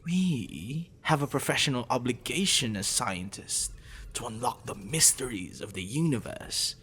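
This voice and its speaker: A man playing a possessive, sadistic professor in an ASMR roleplay, condescending and teasing, slipping into intimate whispers, with a low gravelly voice. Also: expressive, a bit bright, slight background noise. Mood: smug, clinical. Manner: condescending, teasing, deliberate, dropping into breathy whispers. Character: possessive sadistic male professor, obsessive, teasing, smugly intellectual.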